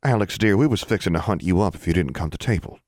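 Man doing an anxious, gentle country girl. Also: low voice, lively intonation, fast, no echo, clean studio sound. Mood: worried. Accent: rural Southern US. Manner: homespun archaic dialect. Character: gentle, anxious teenage country girl, prim and old-fashioned. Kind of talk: audiobook character dialogue.

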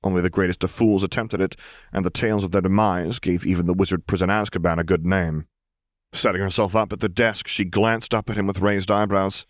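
Low-voiced man narrating fiction, dry close sound. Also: fairly even intonation, fairly quick, no room sound. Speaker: audiobook reader, narrator.

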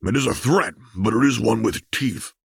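A man's voice: lowish voice, normal speed, vocal fry, husky, no room sound, clean studio sound, voice pushed up, heavily acted. A man voicing a haughty, skeptical baron in an audiobook with formal, pompous phrasing. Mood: scoffing.

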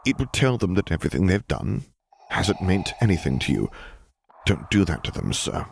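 A man's voice: low voice, sing-song, moderate pace, gravelly, a little hiss or hum, heavily acted. A man voicing a loyal, indignant older admiral in an audiobook, speaking with formal, emphatic military address. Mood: pleading, restrained. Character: defiant, loyal older male fleet admiral, earnest and indignant. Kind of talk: audiobook character voice.

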